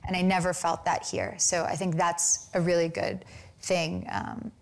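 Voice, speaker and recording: Young american woman, kinda low voice, lots of ums and restarts, thinking out loud in an interview. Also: level tone, a little slow. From United States. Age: young adult. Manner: hesitant, many fillers and restarts. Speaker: film director, interview guest. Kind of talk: reflective interview answers.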